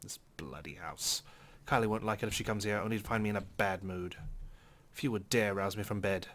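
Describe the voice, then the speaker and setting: A man's voice: lowish voice, brisk, fairly bright tone. A man reading visual novel text aloud as a let's player, with occasional brief commentary.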